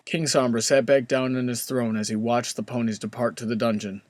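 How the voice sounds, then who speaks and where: A man's voice: medium pitch, fairly even intonation, fairly quick, darkish tone, no room sound. A man narrating a fan fiction story in a scripted storybook style.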